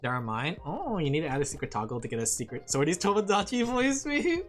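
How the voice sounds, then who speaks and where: Casual woman streamer who rambles and laughs a lot, lowish voice with big swings in pitch, quiet music under her. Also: sing-song, fast. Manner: rambling, chatty, laughs often. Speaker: VTuber streamer. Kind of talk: casual livestream chat while drawing.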